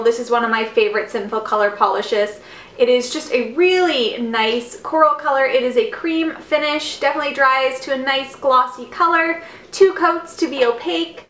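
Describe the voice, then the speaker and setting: A woman's voice: fairly high pitch, a bit bright, echoey room. A young woman in her twenties hosting a beauty channel, chatting upbeat through a nail polish review at home. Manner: chatty, upbeat, repeats words for emphasis. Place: living room at home.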